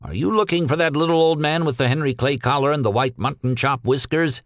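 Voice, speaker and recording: A man voicing a gruff railway brakeman in an audiobook, indignant and grudging. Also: fairly high pitch, brisk, no room sound, pitched above the performer's own voice, close to an everyday voice. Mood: grudging helpful. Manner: angry, grudging. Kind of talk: audiobook character dialogue.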